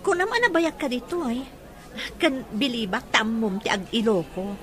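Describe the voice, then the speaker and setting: A woman's voice: fairly high pitch, very animated, normal speed, a bit bright. An actress playing a coy young working woman in an Ilocano radio drama, melodramatic and sweetly scheming. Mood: coy, friendly.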